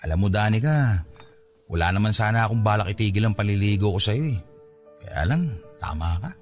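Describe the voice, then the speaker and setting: A man's voice: fairly low pitch, lively intonation, normal speed, long pauses, faint music behind, close to an everyday voice. An actor playing a hurt, fed-up young father in an emotional, reproachful Filipino radio drama style. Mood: weary, sad resignation. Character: hurt, fed-up young father giving up on his unrequited love.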